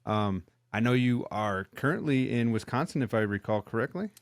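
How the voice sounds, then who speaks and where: A man from Michigan hosting a podcast, asking questions in a relaxed, agreeable way. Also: medium pitch, normal speed, no room sound, studio quality. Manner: easygoing, agreeable interviewer. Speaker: podcast host. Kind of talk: casual interview.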